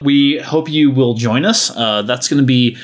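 A man's voice: mid-range voice, unhurried. Guy hosting a podcast, just chatting through announcements, regular mid-range voice. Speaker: podcast host. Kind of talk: casual podcast chat and announcements.

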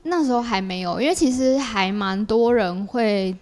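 A woman's voice: fairly high pitch, normal speed, a little mellow. A woman with a Taiwanese Mandarin accent chatting as a guest artist, explaining her work casually and correcting herself as she goes. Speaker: podcast guest, artist and creator of an audio drama.

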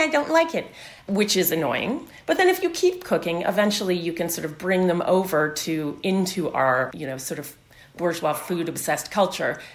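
A middle-aged woman chatting casually as a guest, emphatic and humorously exasperated. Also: on the low side. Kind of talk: casual chat.

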